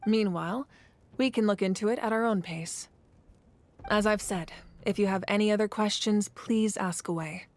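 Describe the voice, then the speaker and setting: A woman voicing a confident, calm young female leader in an anime fantasy game, composed and assured, with a wry warmth. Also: medium pitch, moderate pace, close to an everyday voice.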